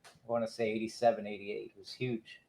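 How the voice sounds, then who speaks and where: A middle-aged man from Cuba, raised partly in the United States, reminiscing casually as a DJ guest in a relaxed, halting way. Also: on the low side, gravelly. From born in Cuba, moved to the United States as a child. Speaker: DJ, podcast guest.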